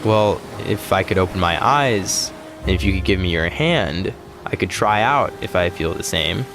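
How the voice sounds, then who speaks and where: Man playing an earnest astronaut with lively pitch, music behind. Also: moderate pace. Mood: bemused, practical. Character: earnest, literal-minded male astronaut exploring Titan. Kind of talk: audio sci-fi drama dialogue.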